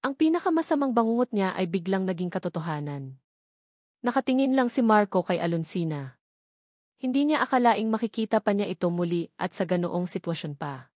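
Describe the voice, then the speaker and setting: A woman's voice: medium pitch, animated, brisk, a little mellow, no room sound, clean studio sound. A woman reading a scripted dramatic story as its narrator.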